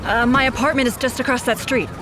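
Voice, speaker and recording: A woman's voice: fairly high pitch, steady tone, fast talker, noisy background, close to an everyday voice. An actress playing a determined, hot-blooded female police investigator in an audio drama, intense and defiant. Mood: urgent.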